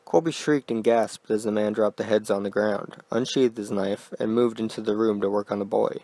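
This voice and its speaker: A man narrating a scripted horror story in a quiet, deliberate manner. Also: on the low side, fairly even intonation, dry recording.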